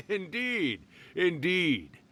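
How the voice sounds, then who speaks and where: A man's voice: high-pitched, very animated, extremely slow, a bit bright, a little hiss or hum, exaggerated voice. A man voicing an ancient, mischievous kitsune spirit in a video game, theatrical, teasing and boastful. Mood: amused. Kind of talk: video game character acting.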